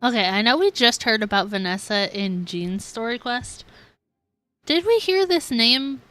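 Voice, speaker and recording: A woman's voice: fairly high pitch, expressive, measured pace, bright, forceful tone. A woman live-streaming a game with casual commentary, chatty and thinking aloud as she plays.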